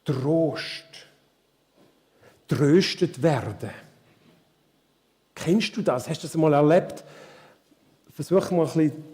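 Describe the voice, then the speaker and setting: Swiss german man, preacher, slow unhurried delivery, mellow voice, long hall reverb, questions to the congregation. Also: fairly high pitch, expressive. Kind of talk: live sermon. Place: church hall.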